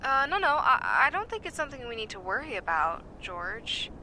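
A woman's voice: on the high side, big pitch swings, moderate pace, sharp tone, exaggerated voice. A woman acting in an audio drama as an upbeat, observant bunker maintenance worker, heard through a suit recorder outdoors. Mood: curious, uneasy. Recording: suit recorder, outdoor ambience, faint noise.

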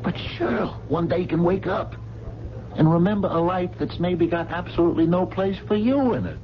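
A man's voice: sits high, normal speed, lightly acted. An actor playing a worried working-class diner man in a radio drama, insistent and colloquial, with an American accent. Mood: worried, pleading. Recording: dull, noisy old radio broadcast.

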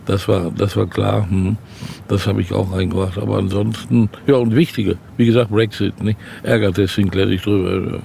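A man's voice: lowish voice, fairly even intonation, moderate pace, creaky, warm tone, slight background noise. An older man, a novelist, chatting in an interview and rambling through anecdotes. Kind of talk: casual interview chat.

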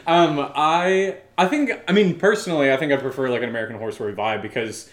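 A man's voice: mid-range voice, fast. Echoey man, loose casual podcast co-host. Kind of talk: casual Q&A chat. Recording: echoey, roomy sound.

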